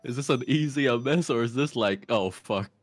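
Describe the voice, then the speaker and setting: A man's voice: mid-range voice, moderate pace, no room sound, faint music behind. A man giving game advice in casual, informal chat with a wry, teasing manner. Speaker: guest advising the streamer on the game.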